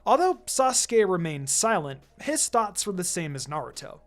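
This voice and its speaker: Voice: mid-range voice, sing-song, normal speed. A narrator reading a scripted fan fiction story aloud. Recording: music behind the voice.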